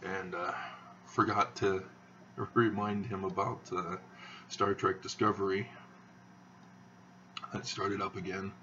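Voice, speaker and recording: A man hosting his own video channel, talking in a slow, halting monotone with many fillers as he rambles. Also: low-pitched, far from the mic, highs cut off, a little hiss or hum. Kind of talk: rambling monologue to camera.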